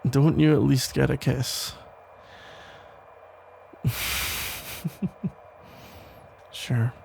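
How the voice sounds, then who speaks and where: A man playing a protective, tender police officer ex-boyfriend in an intimate ASMR roleplay, speaking in halting, reassuring phrases with a gravelly voice. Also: mid-range voice, fairly even intonation, dark tone, slight background noise, heavily acted. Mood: teasing, affectionate. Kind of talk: intimate ASMR roleplay, soft conversational acting.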